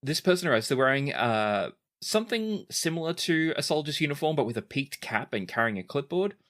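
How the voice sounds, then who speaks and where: A woman improvising narration as the game master of a tabletop game, descriptive and halting. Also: low-pitched, big pitch swings.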